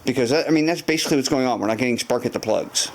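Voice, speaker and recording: A man's voice: mid-range voice, rapid-fire. A man with a mid-range voice walks through his repair job in a home garage, rambling as he thinks aloud and often stopping to restart his sentences. A faint hum of garage ambience sits behind him. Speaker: motorcycle restoration vlogger. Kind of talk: casual garage walkthrough to camera.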